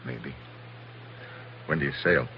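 An actor playing a wry, tough special agent in a 1940s radio adventure, talking in casual, sardonic slang. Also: male voice, extremely low pitch, takes it slowly, vocal fry, heavily acted. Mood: wry, flirtatious. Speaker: lead actor of adventure series. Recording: muffled, noisy 1940s radio recording.

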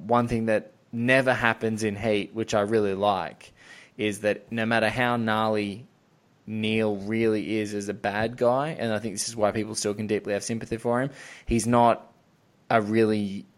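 Low-voiced man, rambling podcast host. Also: little pitch movement, normal speed. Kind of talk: enthusiastic film discussion, casual chat.

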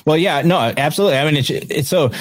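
A man's voice: a little high, fast. A man from Western New York hosting a casual show, chatty and rambling, with frequent fillers. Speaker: podcast host. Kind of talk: casual conversation.

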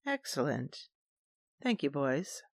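A woman's voice: low voice, big pitch swings, slow pace, vocal fry, a little mellow, full character voice. A woman playing a determined, calculating commander, narrating and speaking in an audio drama. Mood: calculating, resolute. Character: calculating, determined female commander hunting a Sith.

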